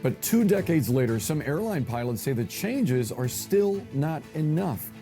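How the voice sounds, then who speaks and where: A man's voice: a little high, big pitch swings, measured pace, warm tone, soft background music. A man with an American accent presenting live television news as an anchor.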